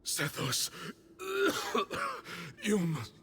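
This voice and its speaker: A man voicing an ailing, zealous old temple leader in a video game, with grandiose, halting delivery and a frail state. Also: high-pitched, wildly swooping pitch, bright, forceful tone, slight background noise, full character voice. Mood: weak, urgent. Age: older. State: coughing, frail.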